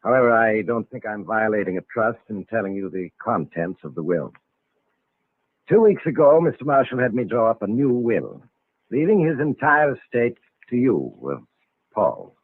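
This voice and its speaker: A dutiful, suspicious family lawyer from a vintage radio play, heard through a narrow, tinny old broadcast. His low, husky voice is solemn and stiffly courteous, with deliberate formal phrasing. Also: sing-song. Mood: solemn, probing. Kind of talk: old-time radio drama acting. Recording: vintage radio recording, narrow phone-like band.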